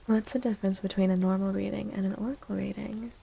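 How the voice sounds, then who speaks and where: A woman speaking softly and breathily in a gentle, soothing ASMR livestream style, often slipping toward a whisper as she hosts and reads tarot. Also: medium pitch, fairly even intonation, fast talker, gravelly, slightly rough. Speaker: livestream host and tarot reader. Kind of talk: soft-spoken ASMR livestream, chatting and reading tarot.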